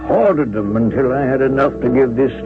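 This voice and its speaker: A man's voice: mid-range voice, normal speed, close to an everyday voice. An actor playing a wistful, secretive old uncle in a 1970s radio drama, hesitant as he reminisces. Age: older. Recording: tinny old radio with music.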